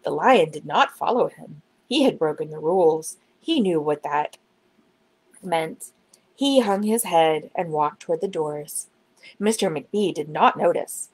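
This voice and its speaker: Expressive woman reading a children's storybook. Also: low voice, unhurried, slightly rough, poor audio. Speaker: children's book reader. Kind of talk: storybook narration, read aloud.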